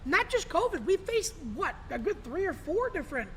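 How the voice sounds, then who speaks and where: A man's voice: very high-pitched, big pitch swings, normal speed, a bit bright, a little hiss or hum. A man talking on a podcast in a rambling, emphatic way, swearing freely. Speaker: podcast guest. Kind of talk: casual podcast talk.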